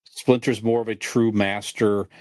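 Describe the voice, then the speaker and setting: Middle-aged male podcast co-host, fairly deep, conversational, dry close recording. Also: level tone, measured pace, a little mellow, no room sound. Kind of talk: casual podcast chat.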